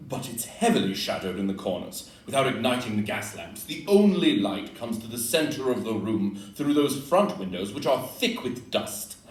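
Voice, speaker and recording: A man's voice: medium pitch, very animated, moderate pace, few pauses, close to an everyday voice. A man acting a keen, exacting detective on stage in an audio play, speaking in a precise, declamatory, analytical way. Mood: observant, dry. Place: live indoor performance in a club room. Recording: roomy distant live recording, background noise.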